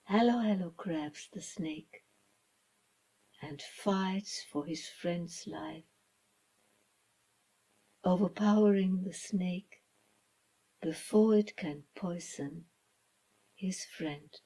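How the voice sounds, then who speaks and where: A woman reading a children's story as its author, in a dramatic storybook style with hushed, breathy phrases and deliberate pauses. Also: on the low side. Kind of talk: slow dramatic storybook narration.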